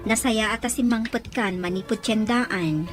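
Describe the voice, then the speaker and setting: A woman's voice: on the high side, moderate pace, soft background music, natural voice. A woman playing a suspicious, probing in-law in an Ilocano radio drama, with a Filipino accent.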